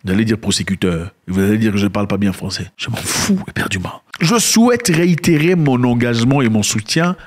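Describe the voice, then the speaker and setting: A man giving casual spoken commentary, emphatic and sarcastic, who repeats words and swings between loud and quiet. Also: lowish voice, animated, fairly quick, few pauses. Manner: sarcastic asides.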